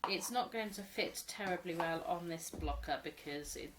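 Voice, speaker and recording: A woman in her thirties, chatting casually at home about her crochet, a little croaky from a cold, rambling and laughing often. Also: fairly low pitch, normal speed, noisy background. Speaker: crochet vlogger, mother. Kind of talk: casual show-and-tell chat.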